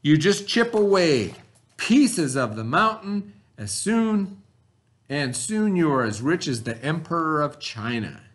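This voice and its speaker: A man teaching through a read-aloud, pausing to explain things and put questions to his listeners. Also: a little high, very animated.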